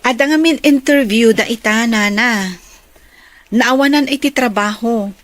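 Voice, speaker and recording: An actress playing a gentle, concerned young woman in an Ilocano radio drama. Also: a little high, a little slow, no room sound, close to an everyday voice. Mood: reassuring.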